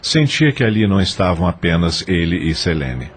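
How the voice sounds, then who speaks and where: A man's voice: deep voice, very animated, normal speed, husky. A man with a Brazilian accent narrating an audio novel in a scripted, dramatic style.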